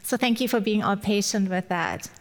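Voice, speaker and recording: A woman's voice: medium pitch, normal speed. A woman in her forties from Germany with a German accent, giving a chatty, encouraging Mother's Day sermon as a guest speaker. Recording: long hall reverb.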